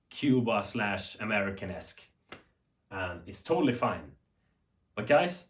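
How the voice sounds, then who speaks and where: A man's voice: medium pitch, a bit bright. Swedish-accented man, earnest unscripted rambling. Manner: rambling, earnest, self-correcting. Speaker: tech YouTuber. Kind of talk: unscripted talk to camera. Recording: echoey room.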